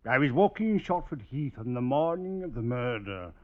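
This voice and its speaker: A man's voice: medium pitch, sing-song, moderate pace, natural voice. Earnest village witness played by a man, muffled recording. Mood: earnest, recollecting. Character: earnest male village witness testifying at a murder trial. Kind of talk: 1950s radio drama acting. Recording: muffled vintage radio recording.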